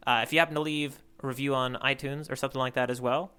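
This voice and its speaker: A man's voice: medium pitch, fairly even intonation, fast, sharp tone, clean tone. A man co-hosting a casual podcast about manga, chatting in a playful, bantering way. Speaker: podcast co-host.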